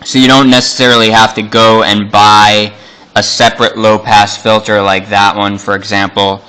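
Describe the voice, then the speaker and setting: A man's voice: fairly low pitch, little pitch movement, moderate pace, bright, forceful tone, clear, resonant tone, low-quality recording. A man giving an unscripted hands-on electronics demonstration, explaining things in a casual, matter-of-fact way.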